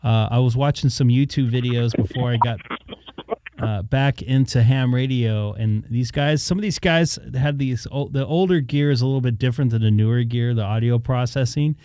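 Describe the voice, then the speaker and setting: Dark-toned man rambling on a stream. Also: medium pitch, normal speed, no room sound, poor audio. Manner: rambling, thinking aloud. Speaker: live stream host. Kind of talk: casual chat. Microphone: dynamic studio mic held in hand.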